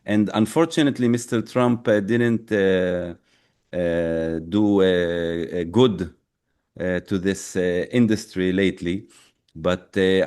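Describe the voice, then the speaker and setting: A man who is a native Arabic speaker, answering interview questions as a crypto educator, haltingly searching for words. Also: sits low, a little mellow, clear, resonant tone. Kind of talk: interview answers, personal story.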